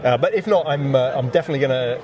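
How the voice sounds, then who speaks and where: A man's voice: mid-range voice, racing. A man chatting casually as an interview guest, a game designer talking outdoors at an event. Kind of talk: casual chat. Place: outdoors in a courtyard at a gaming event. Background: crowd chatter.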